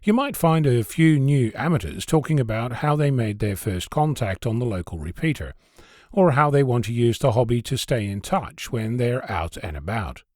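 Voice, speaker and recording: A man's voice: medium pitch, expressive, moderate pace, a little mellow, no room sound, clean studio sound. A man hosting a podcast, reading a scripted essay in a conversational way with wry asides. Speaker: host of an amateur radio podcast. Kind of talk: scripted spoken essay, narration.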